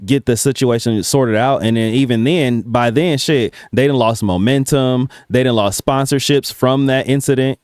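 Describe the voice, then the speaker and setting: A man's voice: medium pitch, darkish tone, no echo, clean studio sound. A man giving casual reaction commentary in a blunt, slangy, swearing-heavy manner. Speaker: reaction video host.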